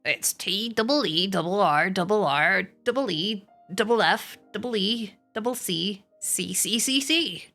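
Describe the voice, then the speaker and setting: A woman's voice: medium pitch, expressive, unhurried, hardly any pauses, bright, forceful tone, faint music behind. A silly storybook gander, voiced by a woman. He is eager and foolish, tumbling over repeated sounds and words. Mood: eager, flustered.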